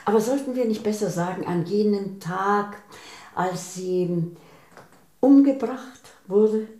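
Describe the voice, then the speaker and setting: An actress playing a shrewd woman in a German radio drama, speaking with deliberate irony and cool, insinuating accusation. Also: medium pitch, mellow, reverberant, close to an everyday voice. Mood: quiet accusation. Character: shrewd, coolly accusing woman confronting a liar.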